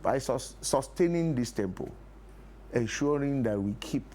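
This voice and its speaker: A man's voice: mid-range voice, lively intonation, measured pace, dark tone. A middle-aged or older Nigerian man, a play producer, explains his points in a TV interview, repeating key phrases for emphasis. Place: TV studio talk show. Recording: clear background noise.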